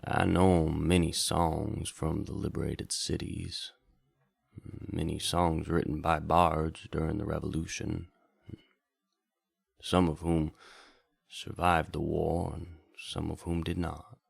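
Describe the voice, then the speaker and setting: Nostalgic bard hosting a radio drama, acted by a man: husky, heavy fry, very slow and reflective, intimate late-night delivery. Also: level tone, dry recording, clean studio sound, exaggerated voice. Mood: wistful, reflective. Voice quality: deep, gravelly, husky. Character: wandering bard radio host from a bayou, reflective, nostalgic storyteller. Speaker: radio drama host and storyteller.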